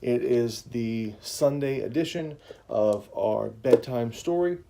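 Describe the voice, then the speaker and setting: A man's voice: medium pitch, slow, vocal fry, noisy background. A man hosting a family livestream, chatting casually and reading a bedtime story in an informal, explanatory way.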